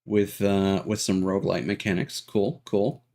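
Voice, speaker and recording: A man's voice: low voice, level tone, a little slow, a little mellow. A man livestreaming a video game and chatting casually with viewers, hesitant and rambling, often trailing off. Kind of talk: casual chat with stream viewers.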